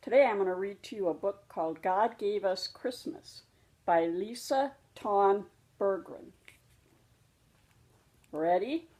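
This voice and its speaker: A woman reading a children's storybook aloud, expressively and deliberately for young listeners. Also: medium pitch, a little mellow. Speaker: storybook reader. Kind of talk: children's read-aloud narration.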